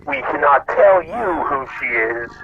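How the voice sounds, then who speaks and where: A man's voice: medium pitch, expressive, measured pace, close to an everyday voice. A man playing a stern, threatening shapeshifting bounty hunter in a sci-fi comedy radio drama. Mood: menacing. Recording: muffled, with clear background noise.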